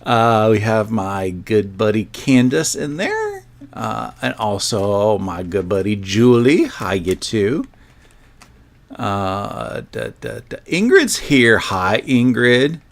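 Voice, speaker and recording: A man's voice: on the low side, lively intonation, slow, vocal fry. A man hosting a sewing livestream, chatting casually to his viewers in a rambling, self-joking way.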